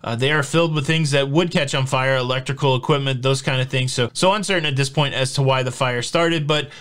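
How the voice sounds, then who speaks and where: A man's voice: medium pitch, a little fast, a bit bright. A man in his forties hosting a casual news vlog from a home studio, talking in a chatty, upbeat, jokey way with plenty of fillers. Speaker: host. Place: home studio with shelves of collectibles.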